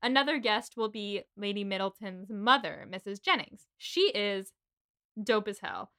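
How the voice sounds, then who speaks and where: A woman's voice: mid-range voice, lively intonation, a little slow, bright, forceful tone. A woman co-hosting a casual book podcast, chatty and laughing often, swearing freely as she recaps chapters. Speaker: podcast co-host. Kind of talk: casual book-club chat.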